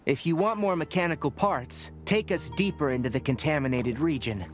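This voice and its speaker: An actor playing a young male forest ranger and scholar in a video game, measured and lecturing. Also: fairly high pitch, over music, natural voice. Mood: matter-of-fact. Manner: measured, lecturing, matter-of-fact.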